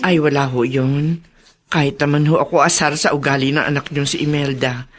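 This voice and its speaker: A woman's voice: low voice, steady tone, brisk. A woman playing a frank, concerned Filipino neighbour in a radio drama, respectful and emotive as she asks after others. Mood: concerned, curious.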